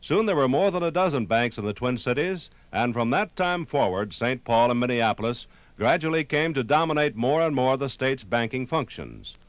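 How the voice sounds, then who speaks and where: A man narrating a scripted 1950s radio documentary in a measured, solemn storytelling manner. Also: medium pitch, expressive, dry recording. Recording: 1950s vinyl radio recording, music underneath.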